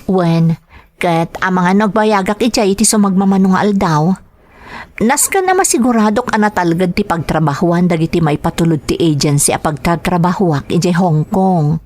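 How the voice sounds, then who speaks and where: A woman's voice: lowish voice, lively intonation, moderate pace, darkish tone, no room sound, natural voice. A woman with an Ilocano accent acting a busy, evasive young working woman in an audio drama. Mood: matter-of-fact.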